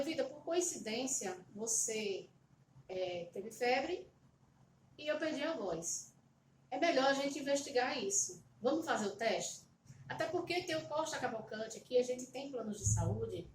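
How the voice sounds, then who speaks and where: A middle-aged Brazilian woman telling a personal story to camera from her home, hesitant and repeating words with many fillers. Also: fairly high pitch. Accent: Brazilian Portuguese. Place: home, at a table. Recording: roomy echo, slightly distant mic, faint background hum.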